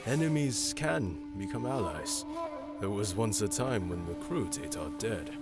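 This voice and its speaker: A man playing a calm, hopeful alien officer who speaks formally and reasonably in an audio drama. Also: on the low side, a little slow. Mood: reasoning. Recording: voice under loud music.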